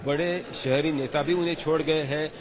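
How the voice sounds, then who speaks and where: A man's voice: a little high, fairly even intonation, normal speed. A man giving a live political news report as a field reporter. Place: outdoors at a rally site. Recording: outdoors with crowd noise behind.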